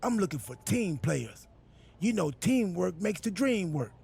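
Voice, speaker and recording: Gravelly, high-voiced oblivious wrestling boss. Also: male voice, very animated, a little slow, a little mellow, heavily acted. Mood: upbeat, self-important. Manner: rambling, absurdly literal, unbothered by insults. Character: quirky, oblivious wrestling general manager, cheerfully self-important man.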